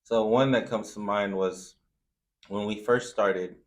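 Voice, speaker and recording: Man, lowish pitch, informal slangy speech, interview guest, personal storytelling. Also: steady tone, slow. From Zambia, moved to the US. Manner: informal, slangy, frequent "you know". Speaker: food truck owner, interview guest. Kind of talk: casual interview chat, personal anecdotes.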